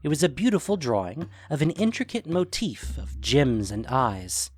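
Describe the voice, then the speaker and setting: A man's voice: medium pitch, big pitch swings, normal speed, a little mellow, slightly rough. A man reading scripted fantasy fiction as a dramatic narrator.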